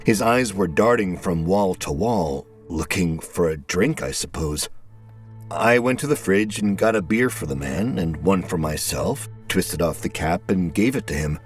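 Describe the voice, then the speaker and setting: A man narrating a scripted first-person story in a brooding, terse, deliberate manner. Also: low-pitched, expressive. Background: background music.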